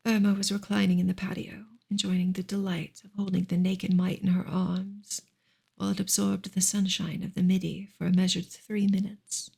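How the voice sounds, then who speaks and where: A woman softly reading a novel in ASMR style, with a breathy voice that often slips toward a whisper and a gentle, measured delivery. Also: mid-range voice. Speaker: audiobook-style reader, ASMR host.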